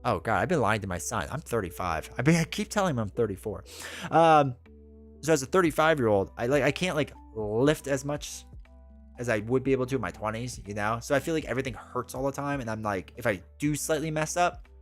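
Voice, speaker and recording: A man's voice: medium pitch, expressive, brisk, faint music behind. Rambling man in his thirties. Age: 30s. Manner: rambling, self-deprecating, with frequent "like" and restarts. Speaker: livestream host. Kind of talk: casual livestream chat.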